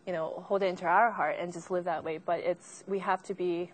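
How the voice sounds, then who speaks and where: A woman's voice: fairly low pitch, fairly even intonation, a little fast, low-quality recording. A woman church member speaking heartfelt and casually in an interview.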